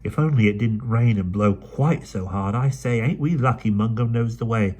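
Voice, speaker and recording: A man's voice: lowish voice, moderate pace, darkish tone, voice pushed up, natural voice. A man voicing a plucky, chatty London street girl with broad cockney-style dialect in an audiobook. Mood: cheery. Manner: bold, chatty, upbeat. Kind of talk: audiobook character voice.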